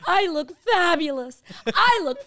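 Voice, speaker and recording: A woman's voice: very high voice, expressive, a little slow, fairly bright tone. A woman in her thirties in a casual chat, talking with lots of "like" and laughing at herself. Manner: chatty, self-mocking, laughs often, lots of "like".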